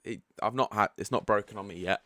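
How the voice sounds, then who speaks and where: Man, developer type, deep croaky morning voice, easygoing tutorial delivery, dry close sound. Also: fast talker, no room sound. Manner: relaxed and chatty, frank asides. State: croaky, just woken up. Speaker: product developer giving a setup tutorial. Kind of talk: casual screen-share walkthrough.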